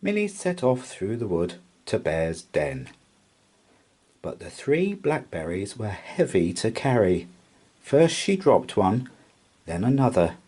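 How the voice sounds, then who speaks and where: Slow, animated male storybook narrator. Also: on the low side, husky. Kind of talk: expressive bedtime story read-aloud.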